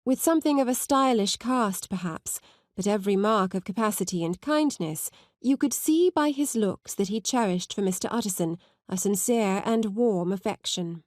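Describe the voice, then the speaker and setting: A woman narrates a classic novel in a mid-range voice, recorded dry and close. Her scripted delivery is measured and articulate. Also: mid-range voice, a little mellow, dry recording. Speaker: audiobook narrator.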